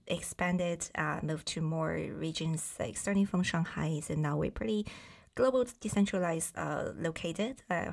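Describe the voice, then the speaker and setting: A young woman from mainland China, whose first language is Bai, talks as a podcast guest. Her voice sits a little low and carries a gravelly fry. She speaks haltingly, with frequent fillers and restarts. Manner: hesitant, many fillers and restarts. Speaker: guest, startup founding member. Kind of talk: casual interview talk.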